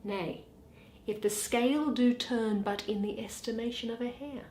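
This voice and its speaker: A woman playing a stern, commanding young lawyer, speaking formal Shakespearean verse with deliberate emphasis. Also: fairly high pitch, dark tone, slight background noise, natural voice. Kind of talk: Shakespearean stage verse reading. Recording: roomy, echoing room sound.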